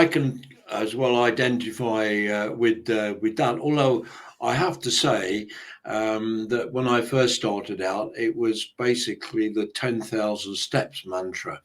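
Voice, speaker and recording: A man moderating an online panel, speaking over a video call. He hesitates often and circles back, with a middle-pitched, ordinary voice. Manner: hesitant, many fillers and restarts.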